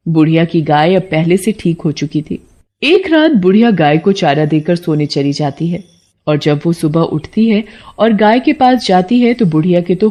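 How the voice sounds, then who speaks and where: A woman narrates a cartoon folk tale as a storyteller. Her voice sits a little low, and she reads from a script. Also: mellow.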